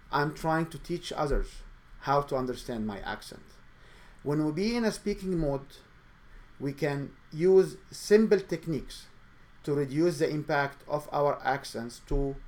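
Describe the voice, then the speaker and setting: A man's voice: fairly high pitch, darkish tone. Earnest man with a noticeable accent. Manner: earnest, coaching, non-native grammar. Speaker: show host and speaking coach. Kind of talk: motivational monologue.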